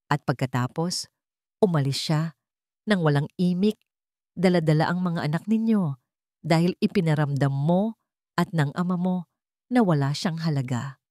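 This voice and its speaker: A woman's voice: sits low, normal speed, pauses often, mellow, no echo, clean studio sound, close to an everyday voice. A woman voicing an earnest woman in an audio drama, emotional and reproachful. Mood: tearful reproach.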